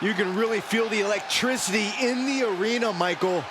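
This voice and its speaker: A man voicing an excited male wrestling commentator in a game, with energetic play-by-play delivery. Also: sits high, brisk, natural voice. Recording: loud crowd and arena noise.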